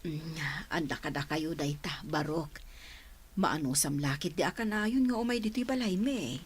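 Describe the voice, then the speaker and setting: An actress with an Ilocano accent playing a young woman's older mother, stern but kind, with a husky voice. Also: low-pitched, moderate pace. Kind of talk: radio drama acting.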